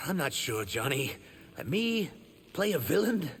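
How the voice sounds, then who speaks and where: A man's voice: on the high side, sing-song, slow, a bit bright, a little hiss or hum, heavily acted. A man voicing an earnest young shapeshifting reptilian warrior in human form for a video game. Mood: wry, sincere. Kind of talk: video game character dialogue.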